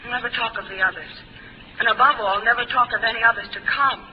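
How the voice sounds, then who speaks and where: A cool, calm young woman speaks softly with a gentle, probing air, as an actress plays her. Her voice is high. It is heard through a dull old 1950s radio broadcast with faint hiss. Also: quick pace, natural voice. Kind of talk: 1950s radio drama acting.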